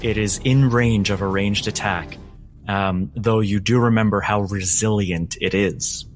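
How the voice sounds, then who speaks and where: A man's voice: fairly low pitch, fairly even intonation, a little slow, soft background music. A man working as game master, narrating a tabletop game in a theatrical, emphatic way.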